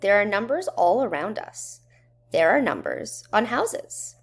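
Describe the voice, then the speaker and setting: A woman reading a children's educational book aloud in a measured, instructional way, putting questions to young listeners. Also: medium pitch, big pitch swings. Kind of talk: children's read-aloud narration.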